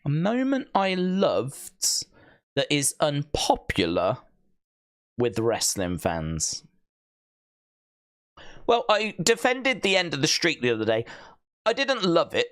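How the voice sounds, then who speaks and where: A man hosting a casual livestream, chatting to viewers in a rambling, joking way with deadpan asides. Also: a little high, slow, long pauses. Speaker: livestream host. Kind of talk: casual watch-along chat, reading viewer comments.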